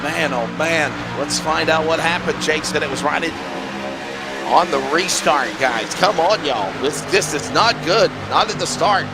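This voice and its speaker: A man doing play-by-play for an online race broadcast, his voice hoarse and raspy, rattling off the running order. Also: fairly high pitch, loud music behind the voice. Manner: rapid rundown of race order. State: hoarse from allergies. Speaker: play-by-play commentator. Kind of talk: sim racing broadcast commentary. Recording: noisy track sound behind.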